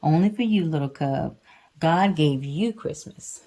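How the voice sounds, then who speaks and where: Mother at storytime: woman, lowish mellow voice, patient and simple, part read-aloud, part casual chat. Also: lively intonation, measured pace. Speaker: mother reading to her child.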